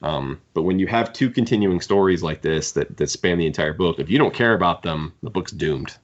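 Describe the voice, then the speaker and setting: A man hosting a podcast, casually discussing books in a halting, opinionated fan's way. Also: low-pitched, lively intonation. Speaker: podcast host. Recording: dull lo-fi podcast audio.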